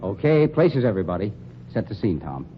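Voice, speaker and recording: A man's voice: lowish voice, very animated, fairly quick, music in the background. A man as host, trading dry, scripted banter in 1940s radio style. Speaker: show host. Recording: dull, noisy old radio recording.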